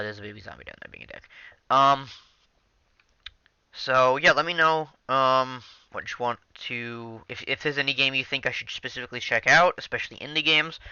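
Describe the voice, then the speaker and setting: A man's voice: mid-range voice, sharp tone, clear, resonant tone, dry recording, lo-fi sound. A young man casually talking over his gameplay into a headset mic, rambling with many ums and restarts. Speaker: gaming channel host.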